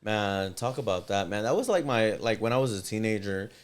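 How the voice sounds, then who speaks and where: Relaxed podcast host, smooth voice. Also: male voice, lowish voice. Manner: relaxed, conversational, drawn-out asides. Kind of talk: casual interview chat.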